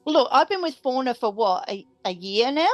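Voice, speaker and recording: A woman's voice: high-pitched, expressive, moderate pace, no room sound. A woman playing a tabletop role-playing game, chatting and bantering casually. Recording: music behind the voice.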